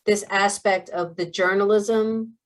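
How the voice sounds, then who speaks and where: A woman's voice: medium pitch, fairly even intonation, takes it slowly. A woman hosting an interview over a video call, speaking supportively and guiding the guest with questions. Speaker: interview host. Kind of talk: conversational interview.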